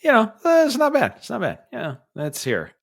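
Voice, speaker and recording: A man's voice: high voice, huge pitch swings, moderate pace, gravelly, fairly bright tone. A man co-hosting a casual podcast, playful and goofy, laughing as he chats. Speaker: podcast co-host. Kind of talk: casual chat.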